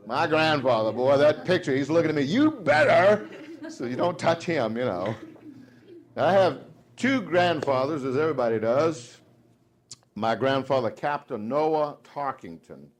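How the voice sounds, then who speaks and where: A Southern-accented man in his fifties talks to a sales team in a folksy, joking way. His voice sits in an ordinary middle pitch, and he drops from loud asides to near-hushed emphasis. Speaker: motivational sales speaker. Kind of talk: live speech to a sales audience. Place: dealership meeting room.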